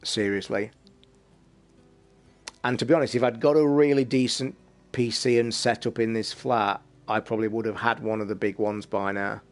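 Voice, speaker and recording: A man hosting a casual late-night livestream from his flat, talking in a rambling, blunt way and swearing freely. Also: on the low side, moderate pace. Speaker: livestream host. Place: home flat.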